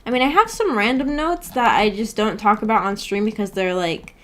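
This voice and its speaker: A woman with a mid-range voice talks casually as the host of a livestream book club. She rambles in an unhurried, giggly way, responding to comments as they come in. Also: medium pitch, lively intonation. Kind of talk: casual livestream chat, replying to viewer comments.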